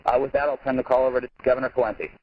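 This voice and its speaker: A man's voice: mid-range voice, steady tone, rapid-fire. A man hosting a press conference call, giving an informal introduction over a muffled phone line. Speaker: campaign aide introducing the speaker and hosting the call.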